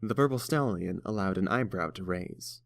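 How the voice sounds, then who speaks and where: Low-voiced, dry-sounding male narrator. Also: moderate pace, creaky, dry recording. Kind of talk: scripted storybook narration.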